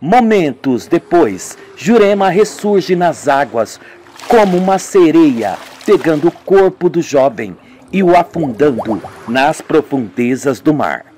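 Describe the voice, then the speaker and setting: A man's voice: fairly high pitch, very animated, takes it slowly, a little mellow, faint music behind. A man narrating a homemade radio drama in a dramatic storytelling manner.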